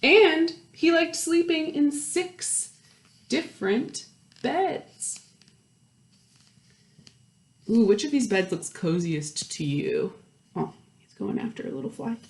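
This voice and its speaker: A woman reading a picture book aloud to young children, expressive, with questions and asides to her listeners. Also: a little high, slow, creaky. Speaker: children's storytime host and story reader. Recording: roomy, echoey indoor sound.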